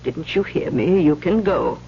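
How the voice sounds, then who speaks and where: An actress playing a stern older Mother Superior in an old radio drama, scolding in sharp, weighty questions on a muffled, humming recording. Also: low-pitched, expressive, unhurried, gravelly, slight background noise, full character voice.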